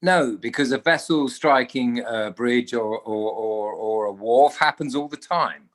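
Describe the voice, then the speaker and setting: An actor playing a defensive company manager giving guarded, evasive testimony in a courtroom drama table read. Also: male voice, medium pitch, unhurried, lightly acted. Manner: guarded, terse, evasive answers.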